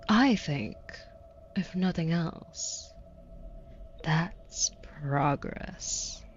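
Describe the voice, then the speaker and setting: Slow, low, teasing demoness, acted by a woman. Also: a little mellow, lo-fi sound, slight background noise, exaggerated voice. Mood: smug, seductive. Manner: teasing, condescending, slow deliberate delivery dropping into whispers. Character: flirty, menacing female demon taunting a caged angel. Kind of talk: scripted audio roleplay.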